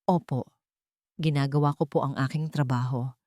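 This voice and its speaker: A woman voicing an elderly female nurse in story dialogue, respectful, fearful and halting. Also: extremely low pitch, steady tone, warm tone, dry recording, studio quality. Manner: deferential, halting.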